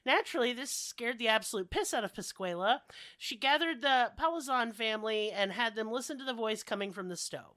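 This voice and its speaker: A woman's voice: a little high, animated, fairly quick, a bit bright, no room sound, studio quality. A woman podcast host casually telling comedic stories with sweary, sardonic rants while a bit under the weather. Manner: profane, sardonic ranting. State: sick with a cold-like illness.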